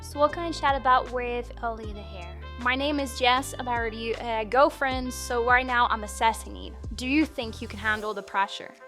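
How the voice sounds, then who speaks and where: A woman's voice: on the high side, moderate pace, few pauses, fairly bright tone, soft background music. A woman reviewing a website in a casual screen-recorded walkthrough, chatty and thinking aloud as she clicks through menus.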